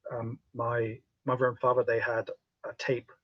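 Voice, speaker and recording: Male talk panelist. Rough-edged husky tone, relaxed conversational explaining, close and slightly muffled recording. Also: mid-range voice, moderate pace, dry recording. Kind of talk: casual chat, explaining.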